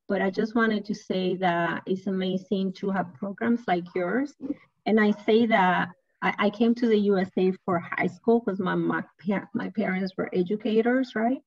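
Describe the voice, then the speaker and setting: A woman's voice: mid-range voice, a little mellow, no room sound. An earnest woman whose first language is Spanish, speaking on a video call and repeating herself for emphasis. Her audio is dull and dry. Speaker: parent engagement advocate.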